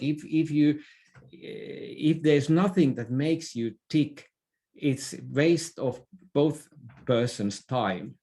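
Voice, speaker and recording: A middle-aged man from Finland with a Finnish accent, speaking as an opinionated guest in a podcast interview, halting and repeating words as he makes his points. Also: fairly high pitch, warm tone. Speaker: interview guest, author and business thinker.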